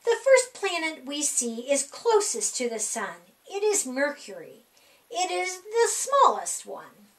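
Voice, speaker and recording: A middle-aged woman reading a rhyming children's picture book aloud in an animated, sing-song, deliberate way for young kids. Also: high-pitched. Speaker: library storytime reader. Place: small indoor room.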